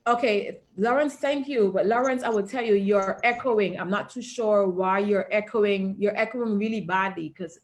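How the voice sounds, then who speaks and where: A woman's voice: medium pitch, normal speed, hardly any pauses. A woman hosting an online video call, who talks with emphasis and often checks in with "all right?" and "okay?". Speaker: host.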